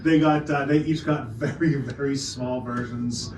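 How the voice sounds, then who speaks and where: A man's voice: medium pitch, fairly even intonation, a little slow. A man improvising casual narration as the game master of a roleplaying game. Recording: roomy, distant, noisy mic.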